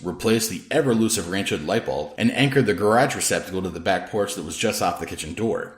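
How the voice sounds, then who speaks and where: Male audiobook narrator, low-pitched and brisk, wry and conversational, roomy recording. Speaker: author reading own novel. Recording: roomy, echoing room sound.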